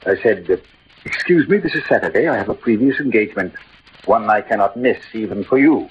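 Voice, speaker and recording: An actor playing an ailing, gallant middle-aged swordsman-poet who hides his love, in a halting, theatrical old radio drama style. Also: on the low side, animated, lightly acted. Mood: weary, evasive. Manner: theatrical, halting, poetic delivery. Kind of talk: 1940s-50s radio drama acting. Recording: muffled, noisy old radio recording.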